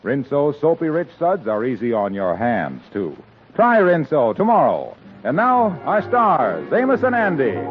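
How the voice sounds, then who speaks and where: A male radio announcer reading an ad and introducing a comedy show, with a cheerful sales-pitch delivery. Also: huge pitch swings, measured pace, soft background music. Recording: tinny old radio broadcast with faint hiss.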